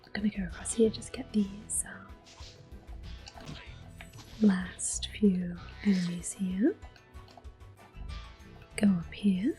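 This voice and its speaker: A woman giving hushed, unhurried ASMR commentary on a video game as she plays it, speaking in soft whispers. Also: mid-range voice. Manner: hushed, unhurried narration of own play. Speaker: ASMR gameplay host.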